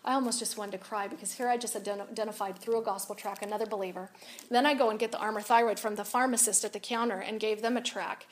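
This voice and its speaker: A woman with a raspy voice giving an animated, confiding storytelling talk to a women's audience. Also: mid-range voice, fast, slight background noise. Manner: animated, confiding, rises and drops a lot. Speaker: speaker at a women's event.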